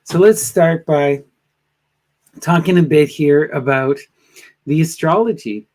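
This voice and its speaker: A man hosting a live tarot stream, talking in a slow, gentle way with soft trailing endings, sniffly from allergies. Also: fairly high pitch. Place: home in a wooded area.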